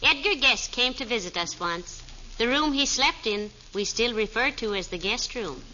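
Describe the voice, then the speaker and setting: A woman's voice: a little high, lively intonation, normal speed, bright, forceful tone. A woman playing a gracious, wry middle-aged housewife, polite and dryly humorous, in 1940s radio comedy style. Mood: sweet, wry. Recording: muffled vintage radio with noisy hiss.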